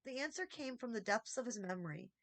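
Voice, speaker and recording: A woman reading a children's story aloud as expressive audiobook narration. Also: a little high, quick pace, no echo. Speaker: audiobook reader.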